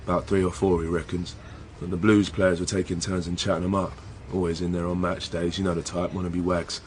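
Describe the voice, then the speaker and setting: A man's voice: sits low, level tone, a little fast, a little hiss or hum, natural voice. A British man playing a sceptical, impatient younger police detective in a radio drama, blunt and colloquial. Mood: matter-of-fact. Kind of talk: naturalistic radio drama dialogue.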